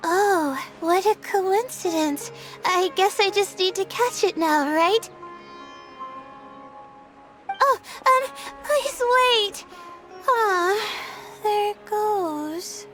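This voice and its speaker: A woman's voice: very high-pitched, extremely slow, over music, exaggerated voice. A woman voicing a timid, weary teenage student girl in an anime game, hesitant and meek. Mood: flustered.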